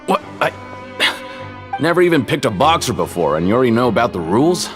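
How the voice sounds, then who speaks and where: A man's voice: medium pitch, big pitch swings, moderate pace, lightly acted. An actor playing a shifty, folksy ticket seller at a fight ring in a video game, with a casual, confiding, hedging salesman's manner. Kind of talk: video game character acting. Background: music.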